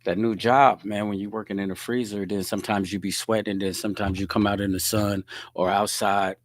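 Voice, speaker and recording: A middle-aged man hosting his podcast alone, hoarse and relaxed, chatting to viewers and answering their comments. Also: fairly low pitch, brisk. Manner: laid-back, talks straight to viewers, reads out comments. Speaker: podcast host. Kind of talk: casual solo livestream chat.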